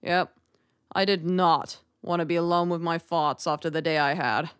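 A woman's voice: fairly low pitch, fairly even intonation, moderate pace, clean tone, no echo, lightly acted. A woman voicing a grumpy, blunt toy creature in a cartoon dub, dry and unimpressed. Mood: dry, casual. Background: music.

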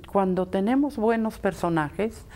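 A woman's voice: medium pitch, a little slow, mellow. A woman who writes for radio, talking in an interview. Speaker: radio scriptwriter. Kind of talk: interview talk.

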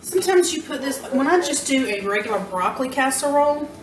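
A woman's voice: high voice, expressive, fast, a little hiss or hum. Woman, 30s, casual cooking chat, self-deprecating, echoey kitchen. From American South. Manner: chatty and self-deprecating, talking while working. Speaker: home cooking vlogger. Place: home kitchen, indoors. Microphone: camera mic from across the kitchen. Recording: echoey kitchen, camera mic at a distance. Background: clinking pots, pans and utensils.

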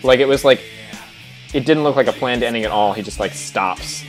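A man's voice: mid-range voice, fairly quick, low-quality recording. A young man co-hosting a casual video-call chat, speaking loosely with lots of filler "like". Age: young adult. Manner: loose speech heavy with "like". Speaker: co-host of a track review stream. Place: home room on a group video call. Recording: music playing behind the voice. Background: plucked guitar music.

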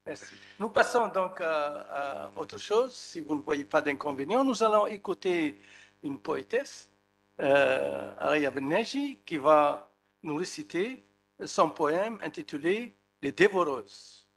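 A man's voice: lively intonation, slow pace, gravelly, fairly bright tone. An older man, chairing a conference session from a table microphone. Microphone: table gooseneck microphone. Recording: noisy room, low hum.

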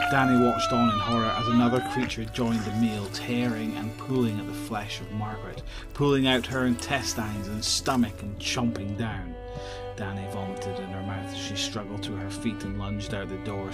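Low-voiced male horror narrator over music. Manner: measured, descriptive storytelling. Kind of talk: scripted audio drama horror narration.

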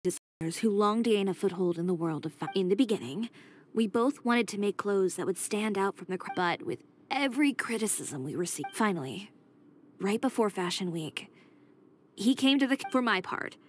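A woman's voice: mid-range voice, lively intonation, moderate pace, natural voice. An actress playing a cool, self-assured young woman in a video game, speaking in a composed, matter-of-fact way. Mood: composed, resolute. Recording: game audio with background noise.

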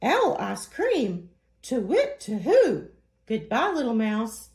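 Flighty storybook owl voiced by a woman: high, hooting, drawn out, in a roomy space. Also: huge pitch swings, slow pace, a little mellow, heavily acted. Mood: flustered. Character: hungry owl, inviting, then timid and flustered.

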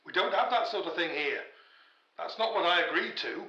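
A man's voice: high voice, animated, normal speed, pushed and bright. A man playing a polite but defensive phone contact in a conversational audio drama, with dull, echoey call sound.

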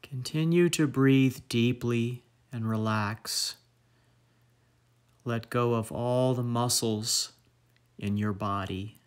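A man leading a guided meditation as instructor, speaking in measured phrases with long pauses. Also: mid-range voice, clean tone.

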